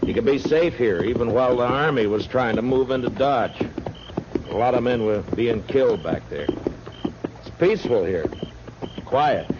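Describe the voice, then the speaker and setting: An actor playing a calm, watchful frontier marshal with a measured, terse, wry manner in 1950s radio western style. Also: male voice, mid-range voice, natural voice. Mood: quietly pointed. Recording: muffled, noisy old-time radio recording.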